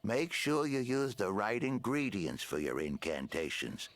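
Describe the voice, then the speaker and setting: A man's voice: fairly low pitch, expressive, unhurried, no echo. A man acting a sly witch in a video game, with a dryly teasing, mischievous way of talking.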